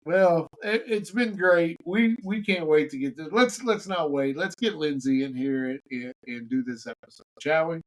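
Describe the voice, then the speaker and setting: A man's voice: fairly high pitch, normal speed, hardly any pauses. A man co-hosting a podcast, chatting casually with his co-hosts and introducing a guest, often stumbling over and repeating words. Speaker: podcast co-host. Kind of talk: casual podcast banter and interviewing.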